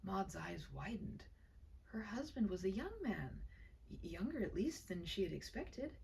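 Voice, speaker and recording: Expressive woman storyteller, swooping and tender. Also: mid-range voice, recorded from a distance, slight background noise. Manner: tender, hushed and breathy, with dramatic pauses. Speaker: storyteller reading her own short story. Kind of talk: expressive dramatic story narration.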